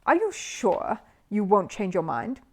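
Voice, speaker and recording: A woman's voice: medium pitch, sing-song, a little slow, a little mellow, slightly rough. A woman voicing a showy, exasperated male quiz-show host in a storybook, emphatic and condescending as if announcing to a hall. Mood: taken aback. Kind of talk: children's storybook character voice.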